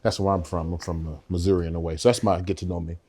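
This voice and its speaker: A man with a very low voice talks as a guest on a podcast. His delivery is playful and easygoing, full of casual asides. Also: little pitch movement, rapid-fire, mellow.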